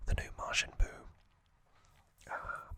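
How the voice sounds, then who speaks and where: Slow, whispering male ASMR host. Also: sharp tone. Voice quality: breathy, unvoiced whisper. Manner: slow, halting delivery in short phrases. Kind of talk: whispered ASMR trivia recap.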